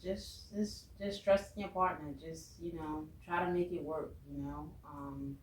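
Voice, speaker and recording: A woman's voice: low-pitched, steady tone, unhurried, a little mellow. A woman speaking casually as a guest in a conversational interview. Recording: echoey, distant, faint room noise.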